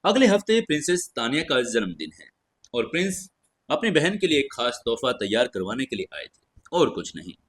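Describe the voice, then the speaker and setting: A man voicing a cold, composed young noblewoman in an audio story, emotionless, unhurried and curt. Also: medium pitch, very animated, a bit bright. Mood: flat, explanatory.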